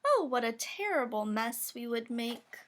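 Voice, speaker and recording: High, expressive woman reading a children's rhyme. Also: unhurried, slight background noise. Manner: expressive, playful emphasis on sound words. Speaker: storybook reader. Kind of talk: children's picture book read-aloud, rhyming verse.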